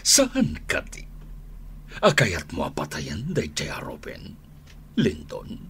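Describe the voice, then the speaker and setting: Low stern older crime boss dad, commanding, ilocano radio drama, bit noisy recording. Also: male voice, wildly swooping pitch, slow pace, a bit bright, heavily acted. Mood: cold, commanding.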